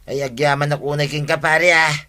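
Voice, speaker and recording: A man's voice: on the high side, fairly even intonation, brisk, pushed and bright, clean tone. An actor playing a grateful village man in an Ilocano radio drama.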